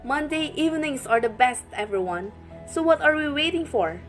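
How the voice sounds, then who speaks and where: A woman's voice: high-pitched, lively intonation, moderate pace, faint music behind. A young woman co-hosting a scripted radio show as a DJ, bubbly and upbeat. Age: young adult. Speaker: radio DJ co-host.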